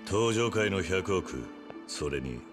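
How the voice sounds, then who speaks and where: An actor playing a stoic, tough yakuza ex-convict in a game cutscene, speaking in a terse, gruff, serious way. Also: male voice, low voice, expressive, takes it slowly. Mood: stern, guarded. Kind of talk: video game cutscene acting. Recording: music behind the voice.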